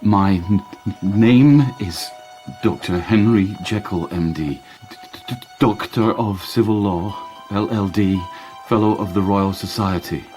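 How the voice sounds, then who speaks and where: An actor playing a driven, awkward Victorian doctor with a halting stammer in a radio drama. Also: male voice, on the low side, a little mellow, faint music behind, lightly acted. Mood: formal, nervous.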